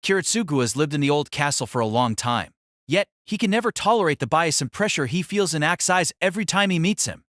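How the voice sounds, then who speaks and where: A man's voice: on the high side, lively intonation, a little fast, fairly bright tone, no room sound, studio quality. A man narrating a light novel in a dramatic, scripted storytelling style.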